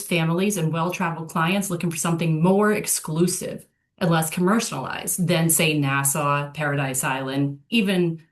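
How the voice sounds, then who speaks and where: Low-pitched woman presenting a webinar. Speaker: product manager hosting a travel webinar. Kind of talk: prepared webinar introduction.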